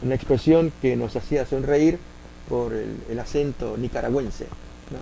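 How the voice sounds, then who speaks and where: A man with an Argentine accent, a pastor preaching a sermon and telling stories, with many pauses and filler sounds. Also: mid-range voice, creaky, mellow, a little hiss or hum, rough recording. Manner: many filler pauses, acts out quoted voices. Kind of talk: sermon with spoken anecdotes.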